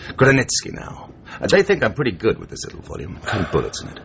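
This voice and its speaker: Deep, creaky, smug gentleman assassin. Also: wildly swooping pitch, quick pace, full character voice. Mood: smugly taunting. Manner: mock-genteel slang, taunting, clipped. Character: smug male Soviet assassin posing as chummy English gentleman. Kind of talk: radio drama acting. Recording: noisy, muffled radio broadcast recording.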